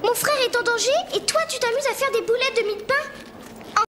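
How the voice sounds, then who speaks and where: Very high-pitched, bossy little cartoon girl. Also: moderate pace, noisy background. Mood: alarmed, scolding. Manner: scolding, urgent. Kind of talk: French-dubbed 1990s cartoon acting. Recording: loud music behind cartoon dialogue.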